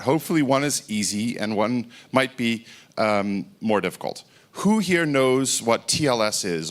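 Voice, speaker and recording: A man's voice: lowish voice, fairly bright tone. Dutch man, casual conference speaker. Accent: American-sounding English. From Netherlands. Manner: informal, self-deprecating, many fillers and restarts. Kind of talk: technical conference talk. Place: conference stage.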